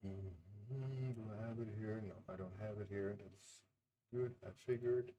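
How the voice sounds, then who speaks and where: A man's voice: fairly low pitch, fairly even intonation, unhurried, mellow, a little hiss or hum, low-quality recording. A man chatting casually on a live stream while building his game, laughing often and muttering to himself as he works. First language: Dutch. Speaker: indie game developer streaming.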